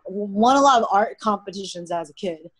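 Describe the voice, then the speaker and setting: Young woman, first language mandarin, casual storytelling, medium pitch, dry sound. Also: fairly quick, slightly rough, no room sound, low-quality recording. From United States. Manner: relaxed, chatty storytelling with frequent "like". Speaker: podcast guest. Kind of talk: casual interview conversation.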